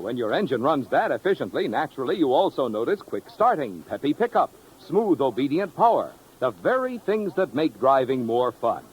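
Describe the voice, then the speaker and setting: A man's voice: fairly high pitch, breathy edge. A man delivering a chummy, folksy 1950s radio commercial as the announcer.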